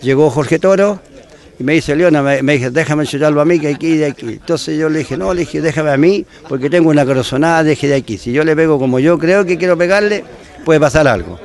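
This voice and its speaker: An older man with a Chilean accent, a former footballer recalling a match in halting, repeated phrases. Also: on the high side, a little mellow. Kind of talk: archive interview recollection.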